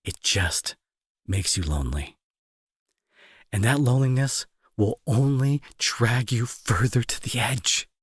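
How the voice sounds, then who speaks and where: Man acting a husky, remorseful bully. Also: mid-range voice, lively intonation, dry recording, studio quality. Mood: earnest, consoling. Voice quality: husky, breathy. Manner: halting, emotional, earnest pleading. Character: angry male bully turned remorseful, protective, grieving brother. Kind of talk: intimate dramatic audio roleplay monologue.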